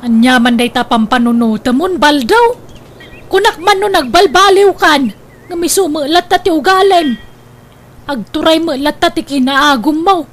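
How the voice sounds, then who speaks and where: A woman with an Ilocano accent playing a humble, protective older mother in a radio drama, expressive and full of interjections. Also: sits high, moderate pace. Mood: reproachful.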